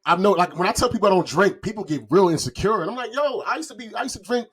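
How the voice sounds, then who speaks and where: A man with a fairly high, husky voice chats as a podcast host. His talk is slangy and loose, full of self-deprecating jokes. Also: animated, very fast, a bit bright. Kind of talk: casual chat.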